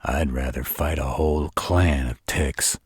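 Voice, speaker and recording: A man voicing a gruff, world-weary, protective supernatural fighter in a roleplay audio drama, with a raspy, breathy voice and a deliberate, slangy way of talking. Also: rumbling low, steady tone, no echo, studio quality, heavily acted. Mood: weary, resolute.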